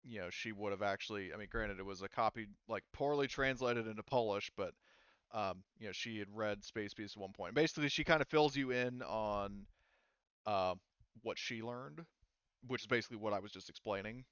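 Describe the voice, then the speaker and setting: A man acting as game master, narrating a tabletop game casually and haltingly as he thinks aloud. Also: on the low side, fairly bright tone, clean tone, no room sound, muffled recording.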